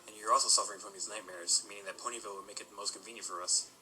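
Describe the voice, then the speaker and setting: A man acting a fast-talking young mercenary mage. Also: on the low side, steady tone, a little hiss or hum, poor audio. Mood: persuasive. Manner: articulate, reasoning. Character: persuasive young mercenary mage pony, studious. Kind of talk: amateur audio drama acting. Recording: loud music behind.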